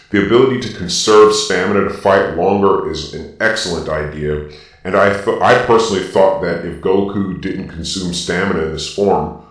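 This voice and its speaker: A man's deep voice runs through a robotic filter, swimming in heavy echo. He gives off-the-cuff ranking commentary, laying out his reasons one by one with firm emphasis. He sounds set back from the microphone. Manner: emphatic, explanatory, reasoning point by point. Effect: deep robotic Darth Vader-style voice filter. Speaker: video host. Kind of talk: informal opinionated ranking commentary, partly improvised. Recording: very echoey, distant from the mic.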